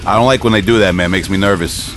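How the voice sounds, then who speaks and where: A man's voice: deep voice, fast talker, over music. A man chatting casually as a co-host on a podcast. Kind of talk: casual chat.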